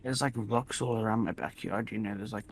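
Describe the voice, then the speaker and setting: A man telling improvised stories casually, rambling and halting, often repeating his phrases. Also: on the low side, gravelly, slight background noise. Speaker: storyteller in a gaming video. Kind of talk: casual improvised storytelling while gaming.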